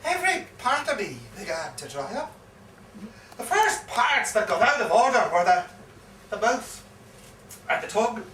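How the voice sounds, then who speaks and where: A man's voice: high-pitched, very animated, normal speed, long pauses, a bit bright. A man on stage playing a garrulous old soldier with an Irish accent, telling a war yarn with theatrical repetition. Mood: anguished, dramatic. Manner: theatrical, dramatic storytelling with repeated phrases. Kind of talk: live stage performance of a comic one-act play. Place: live performance in a pub. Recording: echoey, distant live pub recording, faint noise.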